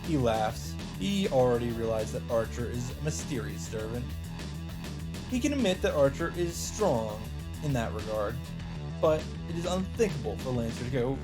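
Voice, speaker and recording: A man's voice: medium pitch, very animated, moderate pace, low-quality recording. A man reading visual novel narration aloud dramatically as a let's player. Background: plucked-string game music.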